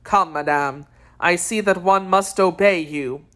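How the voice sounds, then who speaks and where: A woman's voice: fairly low pitch, measured pace, fairly bright tone. A woman voicing an ardent, persistent young gallant in an audiobook, with a courtly, earnest manner. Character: ardent, jealous, persistent gallant young man under twenty.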